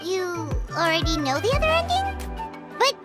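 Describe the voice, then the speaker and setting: Game role: tiny chatty fairy sidekick, extremely high and wildly animated, music behind. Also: female voice, moderate pace, full character voice. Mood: chatty, curious. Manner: refers to self in third person, exclamatory. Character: small childlike floating fairy companion, chatty and eager.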